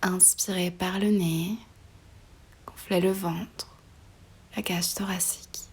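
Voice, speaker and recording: A woman guiding a relaxation meditation in a soft, breathy voice often near a whisper, slow and soothing, with pauses between short phrases. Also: fairly low pitch, steady tone. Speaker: yoga nidra meditation guide.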